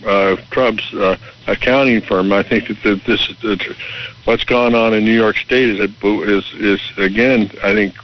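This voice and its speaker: An American man phoning a radio show with his opinions, halting and stammering as he repeats his words. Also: on the low side, fairly even intonation. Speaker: radio phone-in caller. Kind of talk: political opinion call. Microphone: telephone. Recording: muffled call over shortwave radio.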